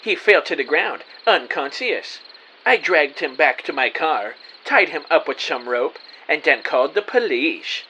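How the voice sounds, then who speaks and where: An actor playing an earnest, excitable, bumbling amateur detective, narrating his case melodramatically in an audio drama. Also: a little high, a little slow, a little hiss or hum, low-quality recording. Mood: excited. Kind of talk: scripted first-person audio drama narration.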